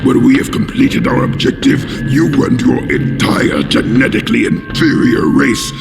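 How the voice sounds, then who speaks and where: A haughty alien overlord sneers with contempt in a video game. The voice is harshly croaking and swings wildly in pitch, echoing in long reverb under loud music. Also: male voice, wildly swooping pitch, moderate pace, full character voice. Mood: imperious contempt. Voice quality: very rough, gravelly. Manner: imperious, theatrical, swooping. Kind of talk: video game villain acting.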